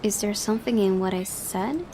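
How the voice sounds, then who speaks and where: A woman's voice: mid-range voice, moderate pace, warm tone, a little hiss or hum. A woman hosting a gaming video, reading visual novel narration aloud and adding casual commentary.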